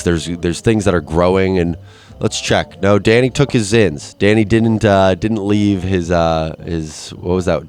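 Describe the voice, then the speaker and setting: A young man with a slightly low voice rambles alone on a podcast, crude and self-mocking, stopping and restarting his sentences. His nose is stuffed up, and faint music plays underneath. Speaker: podcast host. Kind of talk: rambling solo monologue.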